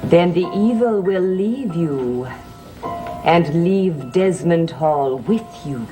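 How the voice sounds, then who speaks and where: Gothic soap authority: lowish, slow, solemn, hypnotically commanding, music underneath. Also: female voice, expressive. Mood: hypnotic, commanding. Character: stern, knowing woman commanding like a hypnotist. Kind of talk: gothic soap opera acting.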